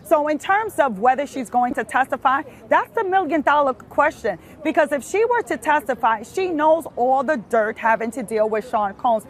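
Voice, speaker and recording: A woman speaks as a legal analyst on the news. Her voice is fairly high, and she explains in unscripted commentary.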